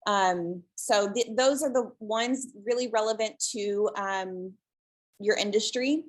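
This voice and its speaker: Calm woman, webinar presenter on a video call; soft, unhurried, hesitant fillers. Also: medium pitch. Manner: relaxed, many ums, soft and calm. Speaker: guest presenter, shelter social media creator.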